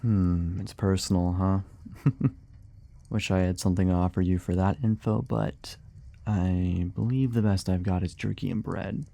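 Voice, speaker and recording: Man playing a slow, gravelly, weary monster hunter. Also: low-pitched, steady tone, mellow. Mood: teasing, curious. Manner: hesitant, muttering, sighing, wryly sarcastic. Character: weary, grumbling male monster hunter, guarded yet sociable. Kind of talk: immersive ASMR fantasy audio roleplay.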